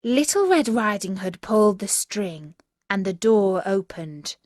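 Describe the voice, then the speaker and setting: A woman narrating a children's fairy tale in a measured, expressive storytelling manner. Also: mid-range voice, no room sound, studio quality.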